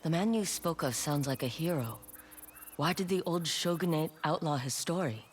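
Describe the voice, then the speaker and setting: Higher-pitched, plain-spoken wandering warrior. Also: male voice, expressive, moderate pace, breathy edge. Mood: respectful, resolute. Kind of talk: video game cutscene dialogue.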